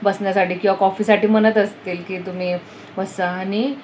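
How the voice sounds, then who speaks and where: Woman, mid-pitched voice, casual travel vlog chat, English words mixed in, noticeable ambient noise. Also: little pitch movement, normal speed, a little mellow. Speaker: travel vlogger.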